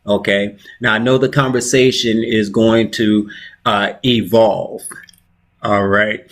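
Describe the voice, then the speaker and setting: Guy hosting a video call chat, slow and soft-spoken, stumbles a bit on his first words. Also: on the low side, flat delivery. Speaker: host. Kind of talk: conversational interview.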